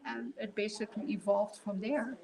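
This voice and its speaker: A middle-aged woman with a Dutch accent, chatting as a guest in an interview and pausing often with fillers and restarts. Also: medium pitch, fairly even intonation, darkish tone, slight background noise. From Netherlands. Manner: halting, with many fillers and restarts. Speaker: guest quilter and artist. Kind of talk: casual interview chat.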